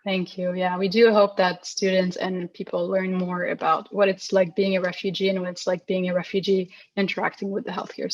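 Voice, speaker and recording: A woman's voice: medium pitch, dry recording. Young woman hosting a podcast, muffled. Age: young adult. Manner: earnest, scripted introduction then casual questions. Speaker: podcast host. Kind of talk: podcast interview.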